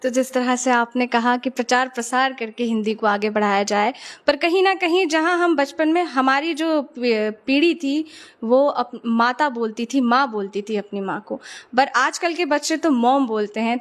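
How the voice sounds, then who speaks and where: A woman's voice: sits high, moderate pace. A woman interviewer asking long, searching questions with some fillers.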